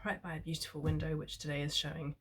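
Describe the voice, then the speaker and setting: A woman with a New Zealand accent, slightly hoarse from a cold, chatting casually as she presents a sewing tutorial. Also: low-pitched, monotone, fast talker, a little hiss or hum. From New Zealand, Christchurch. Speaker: sewing vlogger.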